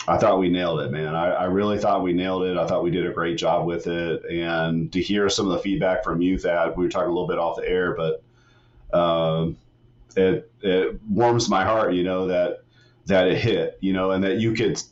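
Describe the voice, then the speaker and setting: A middle-aged man from West Virginia, a retired soldier speaking as a podcast guest in a laid-back, halting way. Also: low-pitched, flat delivery, highs cut off. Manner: laid-back, frequent "man" and "you know", halting restarts. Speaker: guest, retired Army master sergeant and author. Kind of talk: casual podcast interview.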